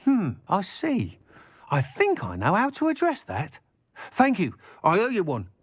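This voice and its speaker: Effusive, high-voiced inventor for a video game; lively, dry studio read. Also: male voice, a little slow, breathy edge, dry recording, full character voice. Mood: grateful. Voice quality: enthusiastic, polite, effusive. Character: eager male broom inventor.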